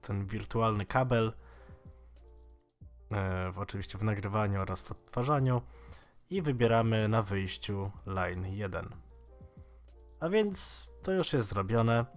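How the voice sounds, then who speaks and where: Low-voiced young man, casual tutorial, background music. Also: measured pace, pauses often. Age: 20s. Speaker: tech tutorial host. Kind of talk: casual software tutorial, screen walkthrough.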